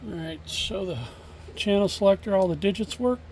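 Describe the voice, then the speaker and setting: A man giving a casual, halting, hands-on demo of used equipment in a plain, unscripted way, recorded on a phone. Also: high voice, darkish tone, slight background noise. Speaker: seller demonstrating a used CB radio.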